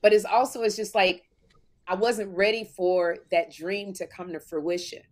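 A woman in her fifties, co-hosting a podcast, with a deep voice, telling stories animatedly and acting out what people say. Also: moderate pace. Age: 50s. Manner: animated storytelling, acts out quotes. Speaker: podcast co-host. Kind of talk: casual faith-filled chat.

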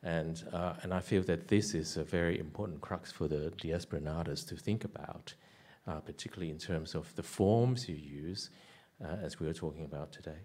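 Older man musing slowly, deep voice. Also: a little mellow. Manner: hesitant, many fillers and restarts. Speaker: artist on a panel. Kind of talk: reflective panel talk. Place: stage before an audience. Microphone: handheld mic.

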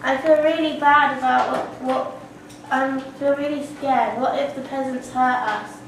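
A woman's voice: high-pitched, steady tone, unhurried. A schoolgirl playing a cautioning, haughty medieval lord in an amateur puppet play. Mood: uneasy. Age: schoolgirl, about 12. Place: school classroom. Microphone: distant camera. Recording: echoey noisy classroom from far off.

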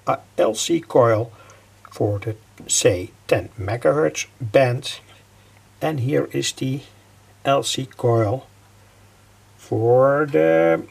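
An older man giving an informal technical explanation as a hobbyist presenter, halting and repeating his phrases. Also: mid-range voice, a little mellow. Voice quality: gravelly, husky.

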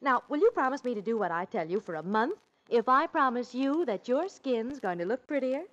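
An actress playing a bossy, helpful friend in a 1940s radio commercial, brisk and instructive. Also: female voice, on the high side, expressive, fairly bright tone. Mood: coaxing. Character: bossy, helpful woman friend giving beauty advice. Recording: muffled 1940s radio recording.

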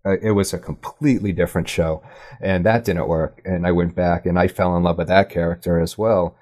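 Male podcast guest, low-pitched, thoughtful stop-and-start talker in a casual interview. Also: fairly even intonation, a little fast, darkish tone. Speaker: podcast guest, audio drama writer and producer.

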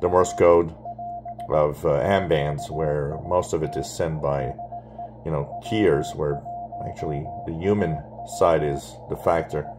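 A man giving a casual hobbyist demonstration in a halting way with frequent pauses. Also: extremely low pitch, steady tone, background noise. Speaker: radio hobbyist presenter. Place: indoors beside a radio transceiver. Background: Morse code tones from a radio.

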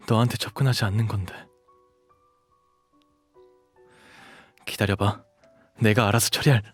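A man's voice: on the low side, husky, no echo. A young man playing an earnest, protective dog shifter in a romantic audio drama. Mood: tender, flustered. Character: gentle, devoted young dog shifter, wounded but protective.

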